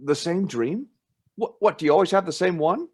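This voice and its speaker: An actor playing a protective, teasing older brother in a radio play, speaking in an emphatic, insistent way. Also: male voice, high voice, lively intonation, moderate pace, a little mellow, slightly rough. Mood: concerned, insistent. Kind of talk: radio drama acting.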